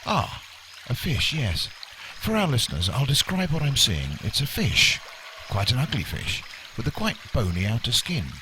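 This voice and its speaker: An actor playing an earnest, pedantic and squeamish broadcaster, describing things hesitantly for a mock radio documentary. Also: male voice, mid-range voice, wildly swooping pitch, moderate pace, noisy background. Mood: earnest, fussy. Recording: very loud jungle animals and water.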